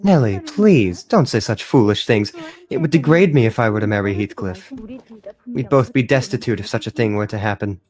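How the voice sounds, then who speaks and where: Performer acting an airy, proud young gentlewoman. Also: mid-range voice, animated, moderate pace, natural voice. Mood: lofty, dismissive. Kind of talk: dramatic amateur game dub.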